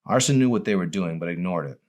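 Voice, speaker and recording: A man reading his own novel aloud as expressive audiobook narration. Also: sits low, quick pace.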